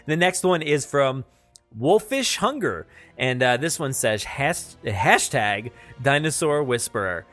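A man's voice: medium pitch, fairly bright tone. Casual male podcast host over music. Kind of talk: casual solo podcast talk.

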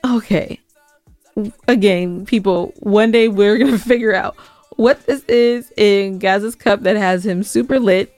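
A woman's voice: medium pitch, unhurried, a little mellow, no room sound, soft background music. A woman co-hosting a podcast in casual, teasing banter with her partner. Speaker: podcast co-host.

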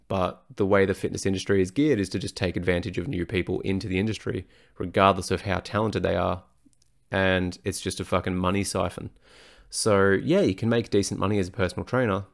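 A low-pitched man with a hoarse, worn voice talks on his own podcast. He speaks frankly and swears freely in casual chat. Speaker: personal trainer and podcast host. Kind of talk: casual solo podcast talk.

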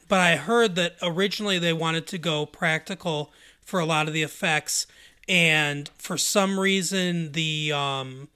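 Bright-voiced man, agreeable podcast co-host. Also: on the high side, clear, resonant tone. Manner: agreeable, halting, full of fillers. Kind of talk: casual movie chat.

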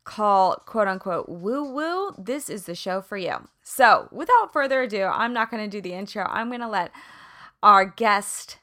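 A woman's voice: mid-range voice, expressive, measured pace, pushed and bright. A woman hosting a podcast, bubbly and chatty, with many "like"s and "you know"s. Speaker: podcast host. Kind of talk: casual interview chat.

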